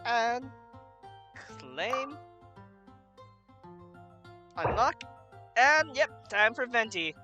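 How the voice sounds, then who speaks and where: A woman's voice: fairly high pitch, sing-song, extremely slow, long pauses, highs cut off. A woman streaming a video game, commenting casually and reading dialogue choices aloud. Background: loud game music.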